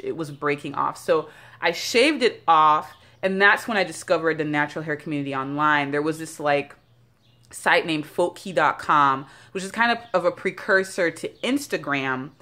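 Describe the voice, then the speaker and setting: A low-pitched woman in her thirties from Jamaica talks loosely, with plenty of fillers. She records herself on a handheld phone in a small bathroom by a bright window. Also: animated, normal speed. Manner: chatty and rambling, with many fillers. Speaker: founder of a beauty brand. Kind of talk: casual vlog talk. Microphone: phone held at arm's length.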